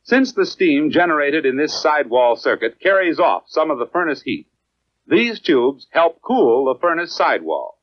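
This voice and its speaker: An American man reads scripted 1950s educational film narration in a measured, clearly enunciated, instructional manner. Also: fairly high pitch, sing-song, no room sound. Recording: dry, dull lo-fi 1950s film soundtrack.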